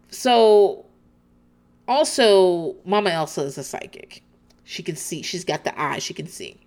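A woman's voice: fairly low pitch, lively intonation, takes it slowly, long pauses, a little mellow. A woman host chatting casually as she recaps a reality show, swearing freely and laughing often.

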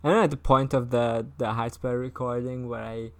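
A woman's voice: rumbling low, level tone, moderate pace. A woman in her twenties co-hosting a podcast, rambling and self-deprecating in casual chat. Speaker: podcast co-host.

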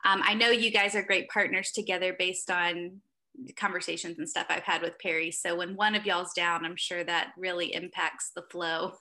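A woman's voice: fairly low pitch, fairly even intonation, moderate pace, pushed and bright, low-quality recording. A woman pastor hosting a casual church conversation, upbeat and chatty with many fillers. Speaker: associate director and pastor, host.

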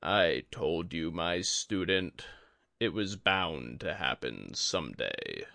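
A man voicing a solemn, resigned, tainted old sorcerer and teacher in a slow, grave, measured way for a fantasy audiobook. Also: low voice, expressive, vocal fry, pushed and bright, dry recording, exaggerated voice. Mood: grave, instructing.

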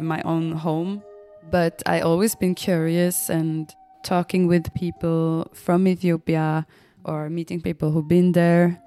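Slow, low-voiced woman over music. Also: dark tone. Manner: hesitant, thoughtful, pausing between short phrases. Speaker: musician telling her own story. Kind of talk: reflective personal narration. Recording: music behind the voice.

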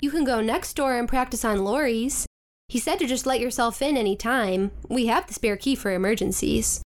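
An actress playing a blunt, sarcastic teenage older sister in a modern audio drama, with a teasing, sardonic manner. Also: female voice, fairly high pitch, moderate pace, natural voice. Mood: bickering, dry.

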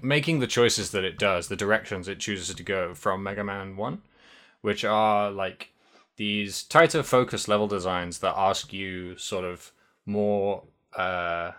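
Man, podcast co-host, low voice, bright and forceful tone, casual rambling chat, lots of fillers. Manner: rambling, many restarts and fillers, laughs often. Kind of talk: casual game discussion.

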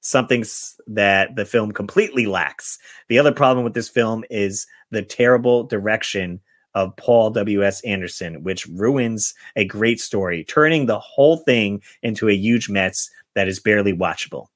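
A man's voice: fairly low pitch, moderate pace, no echo. A man hosting a podcast, chatting casually and reading out film reviews. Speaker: podcast host.

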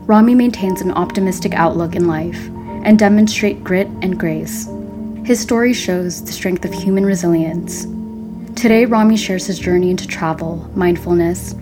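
Polished female podcast host over music. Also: fairly low pitch. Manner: measured, polished delivery. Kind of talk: scripted introduction, then interview questions. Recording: music under the voice.

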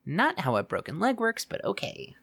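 A woman playing a sceptical young sidekick in an audio drama, deadpan and matter-of-fact with a teasing edge. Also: lowish voice, normal speed, gravelly, slightly rough, full character voice. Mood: dry, resigned. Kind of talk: audio drama acting, conversational.

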